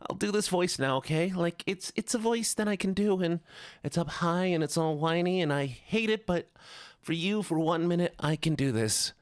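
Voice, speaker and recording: A man voicing a whiny, reluctant complainer in a high voice on a livestream. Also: moderate pace, no echo, studio quality, close to an everyday voice. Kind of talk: livestream voice bit for viewers.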